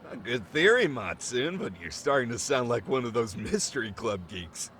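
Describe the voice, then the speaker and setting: Brash, animated teenage boy. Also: mid-range voice, moderate pace, a little hiss or hum. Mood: teasing. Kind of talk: video game cutscene acting.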